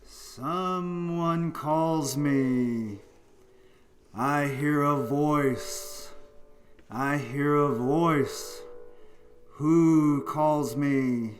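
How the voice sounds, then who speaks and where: A man playing a sham seance ghost in a radio play, calling out in an eerie, drawn-out manner. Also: a little high, over music. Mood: eerie, beckoning. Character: sham seance ghost, a male accomplice putting on spirit voice.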